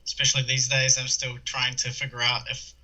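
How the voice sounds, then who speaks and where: A man's voice: mid-range voice, completely flat delivery, brisk, sharp tone, poor audio. A young man from New Zealand with a New Zealand accent, chatting as a podcast guest, rambling with lots of fillers and restarts. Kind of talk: casual chat.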